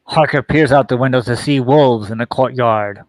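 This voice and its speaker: A man's voice: medium pitch, normal speed, dry recording. A man narrating a radio play, reading out the stage directions.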